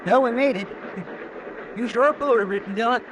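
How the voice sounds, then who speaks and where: A man's voice: very high-pitched, a little fast, background noise. A man playing a simple, loyal, grumbling deputy in a radio western, with a rural American drawl and a plaintive, folksy manner. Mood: excited. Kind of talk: 1950s radio western acting.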